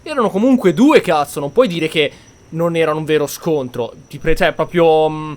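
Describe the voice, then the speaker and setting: A man's voice: a little high, fairly quick. A man with an Italian accent giving casual gameplay commentary, blunt, grumbling and prone to swearing. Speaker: gameplay host.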